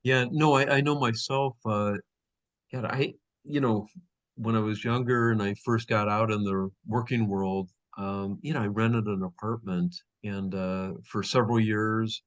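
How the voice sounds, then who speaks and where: A man's voice: on the low side, fairly even intonation, measured pace. A middle-aged man hosting a business interview show, talking in a rambling way with lots of fillers. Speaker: host. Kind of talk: interview host chat.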